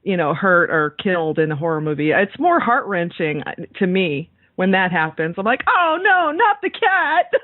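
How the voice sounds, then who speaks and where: A woman's voice: on the low side, sing-song, moderate pace, hardly any pauses, no room sound. A woman co-hosting a podcast interview in casual, conversational talk. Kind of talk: casual chat. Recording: dry, thin phone-like sound.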